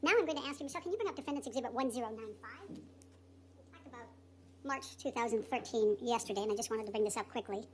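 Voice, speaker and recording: A woman's voice: on the high side, very fast, a little hiss or hum. A woman lawyer formally questioning a witness in court, her voice run through a voice changer.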